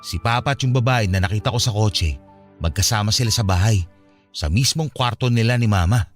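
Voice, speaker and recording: A man's voice: fairly low pitch, fairly quick, close to an everyday voice. A man acting an earnest young man who confides a painful family past in a conversational radio drama style. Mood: confiding, troubled. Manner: conversational, confessional. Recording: music behind the voice.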